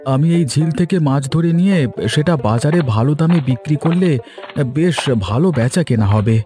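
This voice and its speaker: A man's voice: fairly high pitch, animated, normal speed, dark tone, faint music behind, close to an everyday voice. A man voicing a humble, enterprising poor villager in a cartoon, deferential and eager. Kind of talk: scripted cartoon dialogue.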